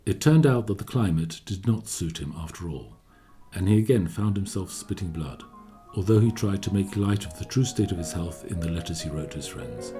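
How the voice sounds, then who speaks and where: Composer's friend, deep voice, lively intonation, measured literary storytelling, radio drama. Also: male voice, music in the background. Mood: reflective. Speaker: narrator. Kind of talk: scripted radio play narration.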